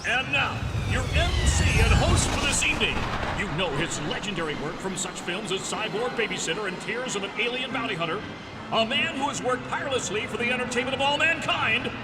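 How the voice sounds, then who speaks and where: A man's voice: extremely high pitch, expressive, a little fast. An actor playing a theatrical male arena announcer in a video game, hyping up a crowd. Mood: hyped. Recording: echoey arena from far off, noisy.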